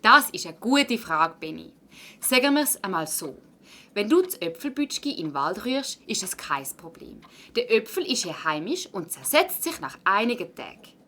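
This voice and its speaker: A woman playing a brisk, encouraging primary school teacher in a children's radio play, instructing a class in Swiss German. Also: medium pitch, very animated, sharp tone. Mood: encouraging, explanatory. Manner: instructive, orderly, addressing a class.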